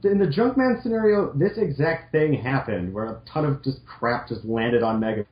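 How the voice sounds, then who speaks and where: A man's voice: medium pitch, normal speed. A man giving casual, mocking commentary as he reads amateur fiction aloud, sarcastic, incredulous and sweary. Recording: echoey room, dull lo-fi audio.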